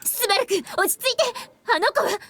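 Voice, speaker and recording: A woman's voice: very high voice, lively intonation, very fast, a bit bright. A woman voicing an anxious, pleading girl in a Japanese visual novel. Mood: pleading, tearful.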